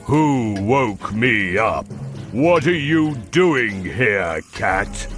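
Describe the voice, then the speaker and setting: Guy doing a big grumpy ogre, low and slow and booming, music playing behind. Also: sing-song, fairly bright tone, exaggerated voice. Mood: angry. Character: huge fierce boastful male ogre.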